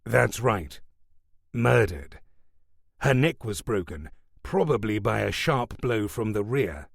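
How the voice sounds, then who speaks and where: Narrator as a calm male detective: level, matter-of-fact. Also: lowish voice, slow pace, creaky, dry recording, clean studio sound, heavily acted.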